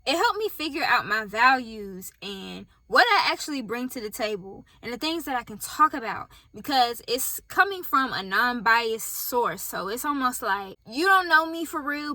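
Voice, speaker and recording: A woman's voice: a little high, expressive, moderate pace, hardly any pauses, pushed and bright, no room sound. A woman with a Southern US accent talks candidly and casually to camera from her parked car, speaking straight to her viewers and filming on a phone set on a tripod. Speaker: vlogger.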